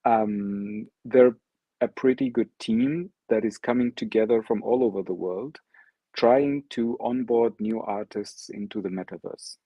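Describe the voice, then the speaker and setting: A low-pitched man whose first language is Arabic talks a virtual audience through his slides. He hesitates often, with ums and restarts. The audio is dry and dull, like a lo-fi computer mic on a video call. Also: slightly rough, no room sound. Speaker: guest speaker presenting his art project. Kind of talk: online talk with slides.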